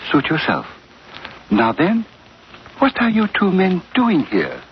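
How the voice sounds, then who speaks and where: An actor playing a formally polite, suspicious gang boss in a 1940s radio drama, measured and deliberate. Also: male voice, high voice, wildly swooping pitch, exaggerated voice. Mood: cool, commanding. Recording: muffled, noisy old radio recording.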